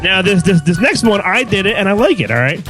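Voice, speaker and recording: A man's voice: sits high, sing-song, brisk. A man hosting a podcast in casual, joking style, with goofy, crude humour. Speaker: podcast host introducing the show. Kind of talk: casual joking panel chat. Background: music.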